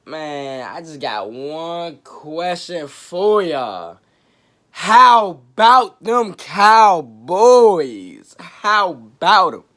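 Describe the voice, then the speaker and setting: A man hosting his own sports talk show, raspy from a cold, chatting casually with slang and jokes. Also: on the high side, very animated, drawn-out words, bright, forceful tone. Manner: laid-back, joking, chatty with slang. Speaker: sports podcast host.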